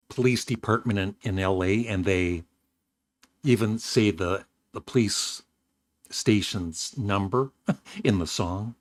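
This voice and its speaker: Older male host, slightly rough voice, low, dry close sound, rambling casual chat. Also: no room sound. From Canada. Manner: rambling, self-correcting, warm chuckles. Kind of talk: casual vlog talk.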